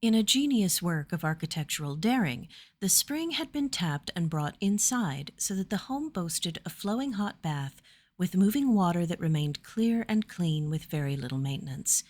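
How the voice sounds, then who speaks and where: A woman's voice: on the low side, animated, normal speed, a little mellow. A woman storyteller reading scripted fantasy fiction narration.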